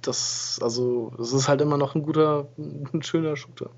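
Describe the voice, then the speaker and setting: A man's voice: a little high, normal speed. A man co-hosting a gaming podcast, chatting in an easygoing way and often correcting himself. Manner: flat, easygoing, self-correcting. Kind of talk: casual game review chat. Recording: dull lo-fi home recording.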